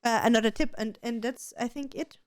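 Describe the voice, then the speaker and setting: A woman's voice: a little high, steady tone, a little fast, clean tone. Chatty woman with a slight stutter. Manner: slight stutter, many restarts; chatty, advice-giving, laughs often. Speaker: podcast co-host. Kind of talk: casual podcast chat.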